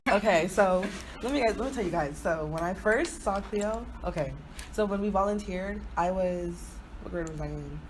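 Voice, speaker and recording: A woman's voice: mid-range voice, moderate pace, slight background noise, poor audio. A woman in her early twenties from Houston co-hosting a podcast, telling stories casually with slang and lots of "like". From Houston, Texas, not raised there from birth. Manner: informal, slangy, peppered with "like". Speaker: podcast co-host. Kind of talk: casual chatty storytelling.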